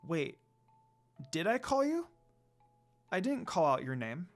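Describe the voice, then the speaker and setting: A man's voice: on the high side, big pitch swings, slow pace, exaggerated voice. A man plays a young priestess in a fantasy story, kind and curious. The lines are earnest and questioning, delivered over background music.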